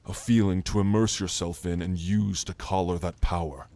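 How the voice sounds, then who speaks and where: A man playing a gentle, scholarly orc mage in a soft, earnest, halting ASMR roleplay, speaking intimately to the listener. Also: deep voice, steady tone. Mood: gentle, coaxing.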